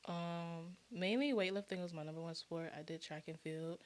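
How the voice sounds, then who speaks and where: A woman's voice: on the low side, steady tone, normal speed. A woman from Florida talking casually as an interview guest on a podcast. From Orlando, Florida, USA.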